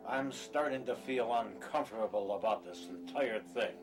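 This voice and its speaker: A man's voice: lowish voice, moderate pace, lightly acted. A man playing a nervous, hesitant fugitive patient in an audio drama, plain-spoken and uneasy. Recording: music behind the voice.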